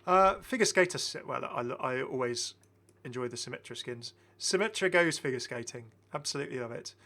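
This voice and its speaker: A man in middle age hosts a gaming video in a medium-pitched voice. He talks casually and with enthusiasm, circling back to repeat phrases as he comments on what he is showing. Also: expressive, a little fast, bright, forceful tone. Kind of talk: casual game skin showcase commentary.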